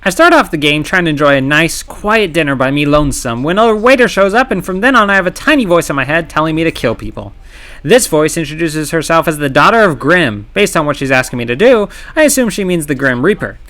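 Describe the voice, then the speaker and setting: A man narrating his own gameplay challenge in scripted voice-over, wry and sarcastic with playful dramatic pauses. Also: a little high, animated, fairly bright tone. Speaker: gaming channel host. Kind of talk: scripted comedic game commentary narration.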